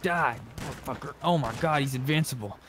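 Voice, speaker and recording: A man improvising in character over game voice chat, blunt and quick to swear. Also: medium pitch, fairly bright tone, slight background noise. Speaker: online roleplay game player.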